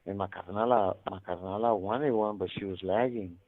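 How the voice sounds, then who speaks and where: A man's voice: lowish voice, steady tone, a little fast. A man telling stories casually over a muffled phone line, rambling in slangy, profanity-heavy talk. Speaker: incarcerated caller telling his life story. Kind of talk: casual storytelling over a prison phone call. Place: prison, on a phone.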